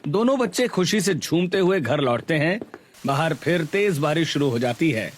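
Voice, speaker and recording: A man's voice: fairly high pitch, very animated, normal speed, faint music behind. A man narrating a scripted cartoon family story.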